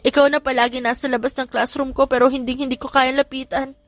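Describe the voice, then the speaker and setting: A woman's voice: high voice, little pitch movement, fast, pitched above the performer's own voice, close to an everyday voice. A woman voicing a shy, emotional young woman in a romance audiobook, with music behind. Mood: tearful, tender. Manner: stammering, tearful.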